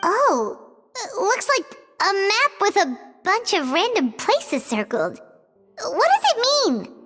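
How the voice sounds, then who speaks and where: A woman voicing a small, chatty, easily flustered fairy companion in a video game, with a squeaky childlike voice. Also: huge pitch swings, slow, fairly bright tone, exaggerated voice. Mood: surprised, curious. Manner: exclamatory, speaks of self in third person. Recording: long reverb, music behind.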